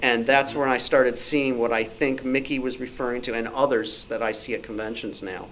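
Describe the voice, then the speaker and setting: A man's voice: medium pitch, steady tone, normal speed, a bit bright, slight background noise, poor audio. A man talking informally and answering questions as a speaker at a club meeting. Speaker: club meeting speaker, author and convention organizer.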